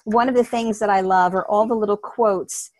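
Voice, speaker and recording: A woman's voice: medium pitch, steady tone, normal speed, dry recording. A woman from the New Jersey shore, hosting an online book study as a casual video call. She is upbeat and welcoming and often laughs.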